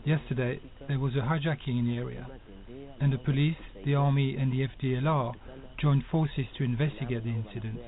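A man's voice: mid-range voice, fairly even intonation, fairly quick. A man, a local policeman, answering a news interview in a plain, matter-of-fact way. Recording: distant voice on tinny audio with a crowd.

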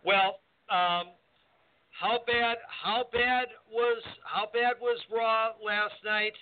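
A man's voice: sits high, steady tone, takes it slowly, smooth. A man co-hosting a wrestling talk show, chatting casually with lots of fillers and restarts. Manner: hesitant, many fillers. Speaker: co-host, wrestling referee. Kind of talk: casual radio chat. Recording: tinny phone-like audio.

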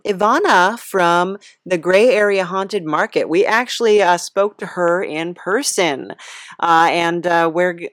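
Woman, slightly low voice, comic interviewer, hesitant, bemused, halting phrases, dry close recording. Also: fairly bright tone, no echo. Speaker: podcast host interviewing a character.

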